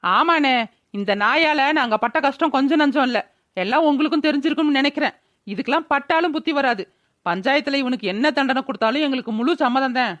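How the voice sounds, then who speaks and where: A woman voicing a sharp-tongued village mother in a cartoon, brash and scolding, with a rural Tamil Nadu accent. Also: sits high, fast talker, dry recording, lo-fi sound. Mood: aggrieved, firm. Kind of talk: cartoon village drama voice-over.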